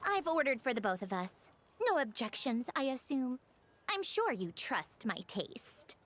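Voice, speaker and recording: Poised, expressive, teasing woman. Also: high-pitched, a little slow, exaggerated voice. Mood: confident, businesslike. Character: poised, teasing, self-assured woman, knowing gossip over tea. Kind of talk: video game dialogue acting.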